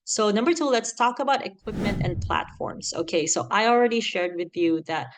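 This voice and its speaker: A woman in her thirties from the Philippines, with a Filipino accent that leans American, giving animated, chatty podcast advice and laughing often. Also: mid-range voice, normal speed, background noise. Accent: Filipino, with an American lilt. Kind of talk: casual advice talk.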